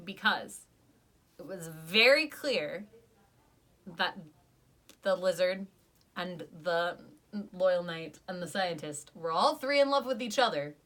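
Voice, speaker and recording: A young woman co-hosting a podcast, chatting casually and getting animated when she rants, with lots of "like" fillers. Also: on the low side. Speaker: podcast co-host. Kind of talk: casual podcast review chat.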